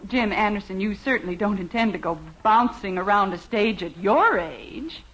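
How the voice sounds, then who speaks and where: A woman's voice: mid-range voice, lively intonation, moderate pace, fairly bright tone. An American actress playing a practical, skeptical middle-aged wife and mother in a 1950s radio sitcom, chiding dryly. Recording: muffled old radio recording.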